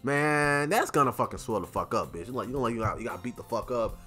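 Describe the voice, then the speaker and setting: A brash man giving profane, comedic let's-play commentary, mocking and swearing as he goes. His voice is slightly raspy from the morning, with lively pitch swings. Faint guitar music plays underneath. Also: quick pace. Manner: brash, loud, cursing, mocking. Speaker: gaming channel host.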